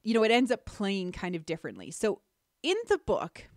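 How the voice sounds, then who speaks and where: A woman's voice: medium pitch, big pitch swings, fairly quick, a little mellow, dry recording, clean studio sound. A woman hosting a podcast, talking through ideas analytically in an earnest, emphatic way. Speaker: podcast host.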